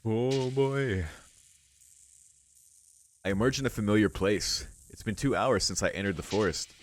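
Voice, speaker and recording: A man's voice: on the low side, measured pace, soft background music. A man hosting a let's play, reading a visual novel aloud and adding informal, musing asides.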